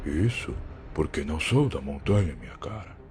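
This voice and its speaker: A Brazilian man playing a weary, defiant alien time-traveler in an audio drama, husky and gravelly, with a brooding, sarcastic, theatrical delivery. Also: very deep voice, big pitch swings, moderate pace, darkish tone. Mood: sardonic. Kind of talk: dramatic first-person audio drama narration.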